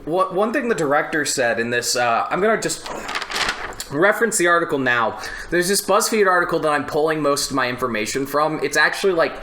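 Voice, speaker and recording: A man hosting a casual podcast, talking in an animated, crude, joking way and swearing freely. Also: a little high, brisk. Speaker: podcast host. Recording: roomy echo, faint background noise.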